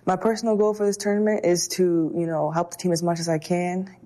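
A woman's voice: lowish voice, flat delivery, brisk, mellow. A woman footballer giving upbeat, casual interview answers. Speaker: national team footballer.

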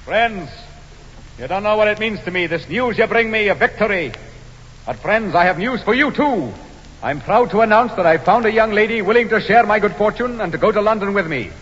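An earnest, humourless young Scotsman in a stage drama, stubborn and declamatory. His husky voice moves in lively swings of pitch. It sounds dull, with long hall reverb. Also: fairly quick, heavily acted. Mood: proud, oratorical. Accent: Scottish.

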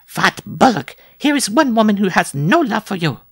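A scornful wolf woman of the circus sideshow, as a male reader puts her on, high and sharp with lively swings in pitch. Her voice grates with fry and she spits every insult. Dry, close sound. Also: normal speed, no echo, heavily acted. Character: sharp-tongued, quick-tempered wolf woman.

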